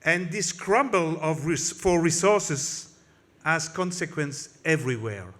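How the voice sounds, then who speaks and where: A man's voice: on the high side, animated, takes it slowly, fairly bright tone. A man whose first language is French gives an impassioned political speech in English at a conference, with a French accent and frequent slips and restarts. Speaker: conference speaker.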